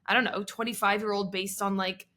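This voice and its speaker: A woman's voice: medium pitch, level tone, brisk, sharp tone. A woman in her late twenties with a mid-range voice, co-hosting a podcast. She speaks in an upbeat, emphatic way and states her opinions firmly in casual conversation. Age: 20s. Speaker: podcast co-host. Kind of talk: casual chat.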